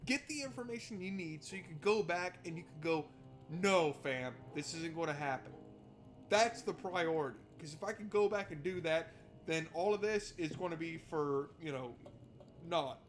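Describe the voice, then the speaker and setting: A male game streamer with a fairly high voice. He gives casual, sweary commentary, half to himself, and reads on-screen text aloud. Light game music sits behind him. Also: animated, moderate pace. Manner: informal, sweary, talks to himself.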